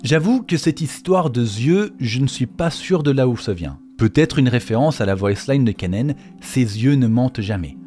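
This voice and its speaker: A man's voice: medium pitch, lively intonation, moderate pace, hardly any pauses, dark tone. A man narrating a scripted explainer as the host of a video on game lore. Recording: faint music behind the voice. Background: faint background music.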